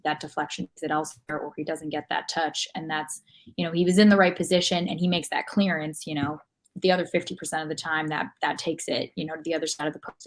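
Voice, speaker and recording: A woman co-hosting a soccer podcast, speaking in a measured way with frequent "you know". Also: low-pitched, low-quality recording. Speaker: podcast co-host. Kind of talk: casual soccer podcast chat.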